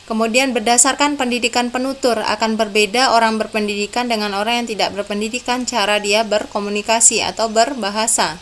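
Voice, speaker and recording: A young woman lecturer giving a recorded university lesson over slides, explaining calmly and step by step. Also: a little high, brisk, slight background noise. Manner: explains in a calm, step-by-step way, often saying "ya".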